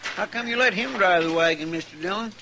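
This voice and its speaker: An American actor playing a loyal, fretful frontier deputy with folksy, rustic speech in an old-time radio western. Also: male voice, sits high, brisk. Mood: curious. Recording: vintage radio recording with faint noise.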